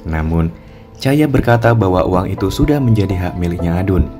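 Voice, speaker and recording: Low-voiced male storybook narrator. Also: brisk, soft background music. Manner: even, storytelling. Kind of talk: scripted narration.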